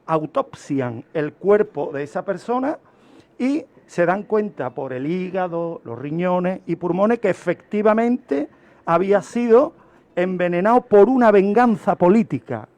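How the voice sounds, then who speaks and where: A man with a distinctly high voice explains criminology as a radio guest. He teaches as he talks, stressing key points emphatically and posing rhetorical questions before answering them. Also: expressive, measured pace, a little mellow. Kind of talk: explaining criminology and a historical case on a radio segment. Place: radio studio.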